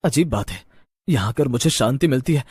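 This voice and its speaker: A young village man from a horror story voiced by a man. He is brooding and earnest, speaking low and hushed with a husky grain. The recording is dry. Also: animated, fairly quick, dry recording. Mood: entranced calm.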